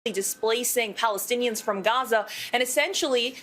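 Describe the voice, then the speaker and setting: A woman correspondent giving a formal news report in a measured, even delivery. Her voice sits a little higher than most.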